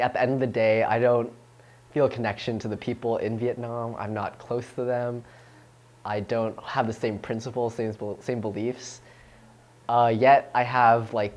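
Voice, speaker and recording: A man's voice: mid-range voice, a little mellow. A young man from California, a student thinking aloud casually, with "like" and "you know". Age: young adult. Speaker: student interviewee. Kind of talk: unscripted reflective answers.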